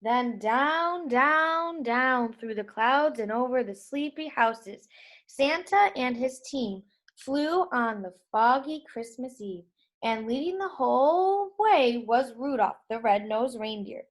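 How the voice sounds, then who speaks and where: A woman reading a children's storybook aloud in a deliberate way for young listeners. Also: high voice, lively intonation.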